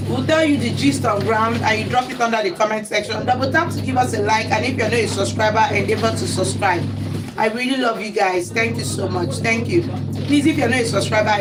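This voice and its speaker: A woman's voice: fairly high pitch, poor audio. A woman hosting a chatty live stream, talking to viewers and repeating calls to like and subscribe. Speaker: host. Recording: loud background noise with passing vehicles.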